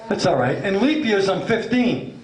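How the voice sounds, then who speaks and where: A man's voice: sits high, sing-song, moderate pace. A man in his late fifties with a New York accent doing a stand-up comedy set, brash and self-mocking, swearing freely and acting out the voices he quotes. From Long Island, New York. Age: 50s. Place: comedy club stage before a laughing audience. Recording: echoey club room, loud background noise.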